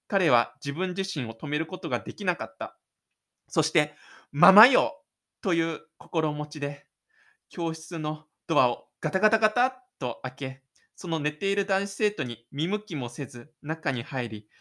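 Guy narrating a radio drama, voice a bit on the high side, dramatic scripted read. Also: a bit bright.

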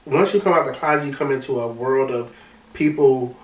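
Podcast co-host, man with a high voice, animated and quick to restart his words, recorded from a distance in a roomy space. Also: muffled recording. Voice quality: high-pitched voice. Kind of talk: casual podcast chat. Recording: roomy sound from a distant mic.

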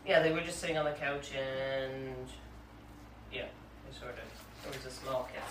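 A woman's voice: extremely low pitch, steady tone, normal speed. A woman in casual group conversation as a member of a writing group, joking and a little self-deprecating. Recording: noisy echoey room, distant mic.

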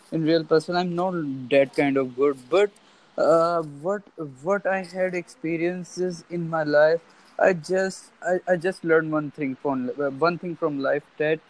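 Halting young man, high voice. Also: poor audio. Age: 20s. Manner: halting, many restarts and fillers. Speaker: conversation partner. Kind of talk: casual chat.

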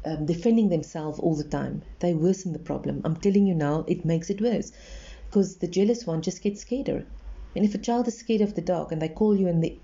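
An earnest woman gives relationship advice in a reassuring tone, repeating her points for emphasis. Her voice is somewhat low and very dark and mellow. The recording is slightly muffled and lo-fi. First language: Afrikaans. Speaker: relationship advisor. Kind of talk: advice monologue.

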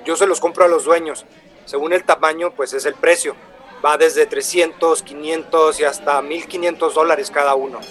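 A male actor plays an earnest, polite traveling buyer in a radio drama. His voice is a touch high and his manner eager and explanatory. A low murmur of café customers sits behind him. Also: fairly even intonation, normal speed, fairly bright tone, some chatter behind, lightly acted. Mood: patient explaining.

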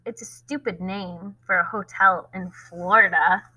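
A woman's voice: medium pitch, steady tone, a little slow, bright, forceful tone, poor audio. A woman reading a blunt stubborn young girl.

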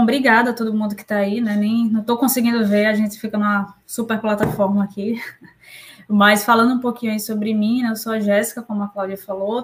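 A woman speaks as a consultant in a casual webinar chat, at a middle pitch. She is relaxed and a bit hesitant, often repeating words as she finds her way. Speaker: consultant and Scrum Master.

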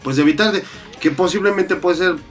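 A man's voice: high voice, brisk, a bit bright, faint music behind. A young Mexican man co-hosting a casual talk show, laid-back and full of slang. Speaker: podcast co-host. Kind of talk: casual crude banter.

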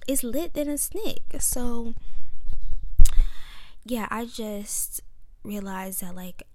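A woman's voice: expressive, drawn-out words. Woman in her 20s, girlish voice, candid solo talk from her bedroom mic, emphatic with whispered asides. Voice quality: high, girlish voice. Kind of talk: personal monologue. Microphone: microphone in her room.

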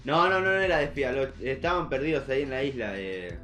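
A man's voice: medium pitch, sing-song, quick pace, sharp tone, soft background music. A young man with a Rioplatense Argentine accent chatting casually and teasingly in slang as a podcast co-host. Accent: Rioplatense Argentine Spanish. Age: young adult. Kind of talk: casual chat.

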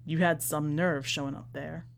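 A woman voicing a bitter, skeptical son in a story, terse and accusing in a low-key way. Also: fairly even intonation, moderate pace, vocal fry. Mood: bitter accusation. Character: bitter, accusing son of a dead man, confronting preacher.

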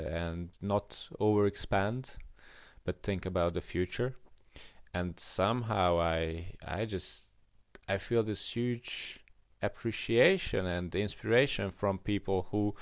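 A young man with a Hungarian accent talks through his thoughts in a relaxed podcast conversation, often pausing and restarting. His voice sits fairly low and sounds smooth and clear. The recording is dry and close. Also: no echo. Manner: reflective, halting with restarts. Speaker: podcast co-host. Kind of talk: casual conversation.

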